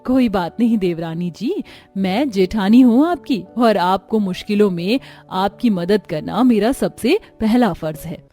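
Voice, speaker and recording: A woman's voice: mid-range voice, lively intonation, a little slow, mellow, faint music behind. A woman voicing a kind, eager young bride. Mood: warm, pleased. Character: kind, cheerful young bride, helpful elder sister-in-law. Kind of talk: Hindi cartoon story dialogue.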